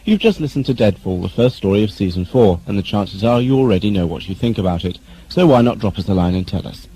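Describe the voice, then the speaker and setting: A man's voice: on the low side, animated, quick pace, warm tone, slightly rough, poor audio. A man presenting a scripted listeners' letters segment as announcer.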